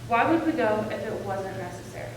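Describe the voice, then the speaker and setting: Actress as trusting soldier's sweetheart, echoey hall. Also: female voice, medium pitch, animated, brisk, close to an everyday voice. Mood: defensive, hurt. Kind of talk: readers theater stage drama. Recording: echoey hall from far off, clear noise.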